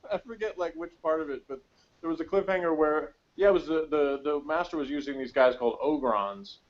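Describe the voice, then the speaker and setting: Rambling man in casual chat, slightly high voice. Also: a little fast, muffled recording. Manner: rambling, searching aloud for details. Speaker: podcast co-host. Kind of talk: casual sci-fi TV review chat.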